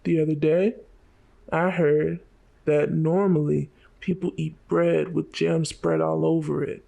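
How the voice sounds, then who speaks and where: A man playing a destitute, resigned teenage devil hunter in a fan-made motion comic, with a flat, weary, blunt delivery. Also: a little high, measured pace, vocal fry. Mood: wistful, resigned.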